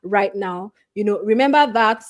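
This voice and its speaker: A middle-aged woman from Nigeria talks through her career story at a steady mid pitch. She is earnest and conversational, leaning on "you know" between phrases. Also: moderate pace. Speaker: guest speaker. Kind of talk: conversational panel talk.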